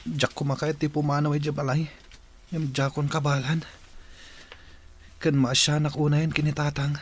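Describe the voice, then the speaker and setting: A hurt, dutiful young Filipino son, acted by a man for radio drama. His voice sits fairly high and stays level, earnest and plaintive. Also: flat delivery, moderate pace, slight background noise, lightly acted. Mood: sad, resigned.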